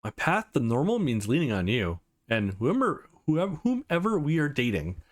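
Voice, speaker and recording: A man's voice: medium pitch, wildly swooping pitch, moderate pace. A man voicing a blunt, socially awkward young woman in a visual novel, matter-of-fact and teasing.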